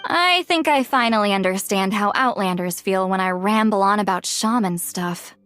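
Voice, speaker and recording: A woman voices a young female shaman in a video game. Her voice sits at a middle pitch, dry and thoughtful, with music behind. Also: moderate pace, fairly bright tone, close to an everyday voice. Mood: wry, curious. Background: background music.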